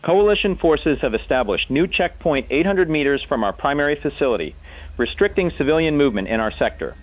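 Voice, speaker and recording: A man's voice: fairly high pitch, animated, fairly quick. A man playing an urgent field medic in an audio drama, giving a formal report of danger through a radio filter. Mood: urgent, alarmed. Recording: tinny, narrow phone-like radio line.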